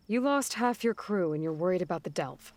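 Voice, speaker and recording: A woman's voice: lowish voice, animated, fairly quick, a little mellow, dry recording, close to an everyday voice. A woman voicing a level-headed, skeptical young heroine in a video game, questioning in a pointed, understated way. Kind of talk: video game cutscene dialogue.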